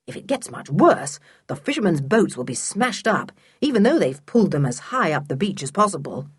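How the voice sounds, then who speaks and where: A woman's voice: fairly low pitch, very animated, normal speed, slightly rough, no room sound, clean studio sound, heavily acted. A woman voicing a curt, irritable uncle with a fierce temper in a children's storybook. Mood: fierce grumbling.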